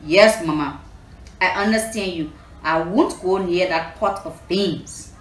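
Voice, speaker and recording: A woman voicing a greedy, eager young male spider in a children's storybook, in drawn-out, exclaiming phrases. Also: lowish voice, close to an everyday voice. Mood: obedient, cheerful. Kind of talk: children's storybook read aloud. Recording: roomy, distant mic, faint noise.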